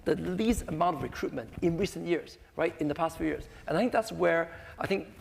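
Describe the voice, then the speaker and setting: A man's voice: on the high side, a little fast, gravelly. A man speaking as a panelist in an unscripted discussion, conversational and often checking in with short tag questions. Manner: conversational, peppered with "right?" tags. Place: conference panel hall. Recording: echoey hall with some noise.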